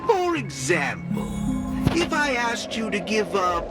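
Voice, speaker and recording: An actor playing a courteous, calm, mysterious man greeting visitors at a cult gathering in a video game, speaking politely and formally. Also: sits high, slow, full character voice. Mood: explaining. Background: game music.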